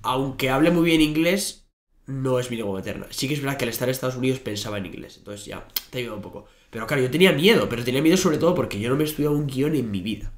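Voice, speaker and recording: A man's voice: mid-range voice, lively intonation, racing. A young man, a native Spanish speaker, talking as a podcast guest across a desk, telling stories with many fillers and restarts. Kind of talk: casual interview talk. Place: small office studio. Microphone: desk microphone. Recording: echoey room.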